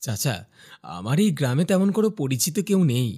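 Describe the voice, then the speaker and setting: Man playing a curious young villager newcomer, animated. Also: on the high side, brisk, slightly rough. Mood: polite. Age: young adult. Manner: musing, questioning, expressive. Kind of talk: horror cartoon dialogue and inner monologue. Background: faint music.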